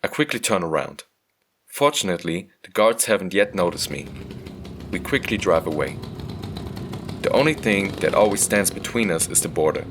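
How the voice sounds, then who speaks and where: A man reading a scripted first-person story as a motion comic narrator, measured and earnest. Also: low voice, big pitch swings, a bit bright, husky.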